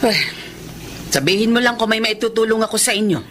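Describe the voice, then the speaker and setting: A woman's voice: on the high side, fairly quick, fairly bright tone, slight background noise, close to an everyday voice. An actress playing a concerned, protective middle-aged mother in a Filipino radio drama.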